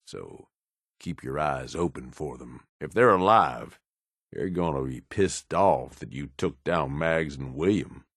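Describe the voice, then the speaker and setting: A deep, close and dry male voice for a video game: a gruff middle-aged raider who serves as the boss's right hand. He talks bluntly and pragmatically, worn down by years of experience, in loose, profane slang. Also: animated, measured pace, fairly bright tone, dry recording, full character voice. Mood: wary. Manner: blunt, world-weary, drawling slang and profanity. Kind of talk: video game character dialogue.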